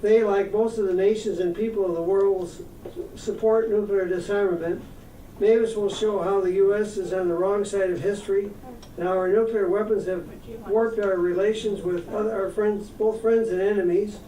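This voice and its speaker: A man's voice: sits high, fairly even intonation, moderate pace, dark tone, slight background noise. A man hosting a meeting, reading a prepared introduction of the speaker and stumbling now and then. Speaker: meeting host. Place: meeting room. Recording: echoey room, far from the mic.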